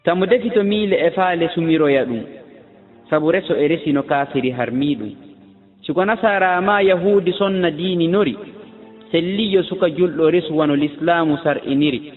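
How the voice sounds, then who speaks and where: A man's voice: on the high side, a little fast, soft background music. A man reciting a religious poem in a chanted style, as a poet addressing the faithful. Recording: dull lo-fi audio with long hall reverb.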